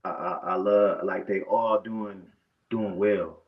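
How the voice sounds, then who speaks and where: Gravelly-voiced man. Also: sits low, steady tone, slow pace. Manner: loose, conversational storytelling with repeated restarts. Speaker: barber guest. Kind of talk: casual interview talk. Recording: dull, muffled lo-fi sound.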